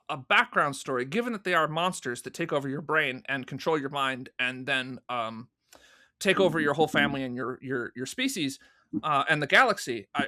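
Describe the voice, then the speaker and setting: A man with a fairly high, forceful, bright voice talks as an interview guest. He explains creative decisions in articulate, halting phrases and leans on the important words. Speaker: interview guest, audio drama producer and writer.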